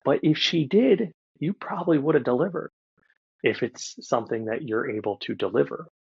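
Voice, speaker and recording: A man with a gravelly, husky voice works as a coach in a one-to-one session. He speaks directly to the listener, instructing with firm emphasis. The pitch sits in an ordinary male range, and the audio is a little dull and lo-fi. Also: normal speed. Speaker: mindset and relationship coach. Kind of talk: live one-to-one coaching talk.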